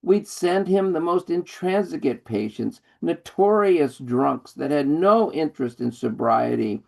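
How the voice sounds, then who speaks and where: A man reading his poem at an online open mic, slow and deliberate, speaking over a video call. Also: a little high, animated, vocal fry. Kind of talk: poetry reading.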